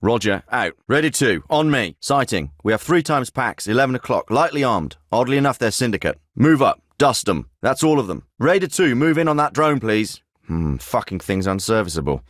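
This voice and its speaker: An actor playing a terse, profane special forces team leader in a video game. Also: male voice, mid-range voice, very animated, normal speed, few pauses, no room sound. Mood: businesslike. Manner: terse, profane and matter-of-fact. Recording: dry studio recording.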